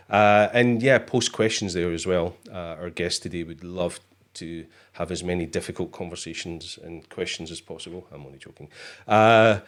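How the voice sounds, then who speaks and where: A Scottish man hosting a casual live show, chatty and joking, with plenty of fillers. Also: deep voice. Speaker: host. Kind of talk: casual livestream chat.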